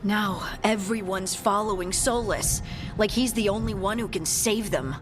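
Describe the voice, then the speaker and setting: A serious female companion played by an actress reports plainly in fantasy game dialogue. Her medium-pitched voice is urgent and matter-of-fact, over faint music. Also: measured pace, natural voice. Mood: grim concern. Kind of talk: cinematic game dialogue acting.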